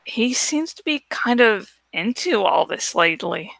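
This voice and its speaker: A woman voicing a gentle, wistful teenage girl in a storybook reading, plain and hesitant in manner. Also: medium pitch, sing-song, a little slow, creaky, no room sound, full character voice.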